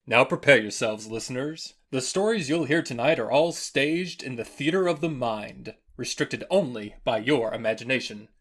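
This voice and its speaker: A man's voice: medium pitch, animated, moderate pace, hardly any pauses, a bit bright. A man acts as host, reading a scripted introduction in announcer style. His voice sits in the middle of the male range, and he presents each item to the listeners in turn. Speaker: festival host.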